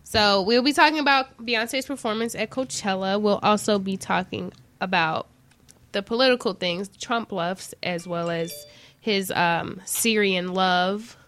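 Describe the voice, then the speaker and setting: A woman hosting a casual radio talk show in a small studio, loud and upbeat, often laughing. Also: medium pitch, measured pace, soft background music. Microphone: studio mic.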